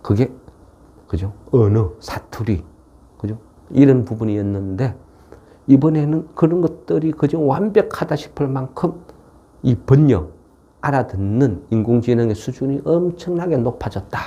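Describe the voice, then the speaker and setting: A man's voice: mid-range voice, mellow, muffled recording. A man with a regional, non-Seoul South Korean dialect giving an informal explanatory market lecture in a folksy way, often tagging on rhetorical questions. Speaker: stock market commentator.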